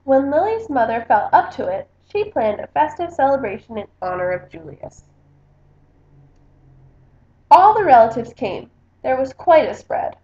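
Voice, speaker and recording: A woman reading a children's storybook aloud in an expressive narrating style. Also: high voice, measured pace, pauses often. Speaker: book reader. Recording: echoey room, slightly muffled sound.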